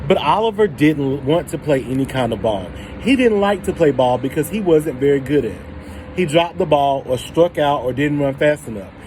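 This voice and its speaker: A man reading a picture book aloud to children, pausing to put questions to his young listeners. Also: fairly high pitch, expressive, a little mellow, slight background noise. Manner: pauses to prompt young listeners. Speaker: storybook reader for children.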